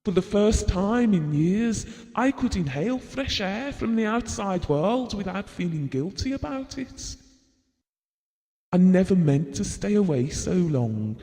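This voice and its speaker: A man's voice: high-pitched, big pitch swings, a little slow, warm tone, exaggerated voice. An actor playing a guilt-ridden, exasperated husband in an audio drama, confessing his regrets in a strained manner. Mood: troubled, confessional. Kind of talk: audio drama monologue and dialogue. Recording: reverberant hall-like room sound.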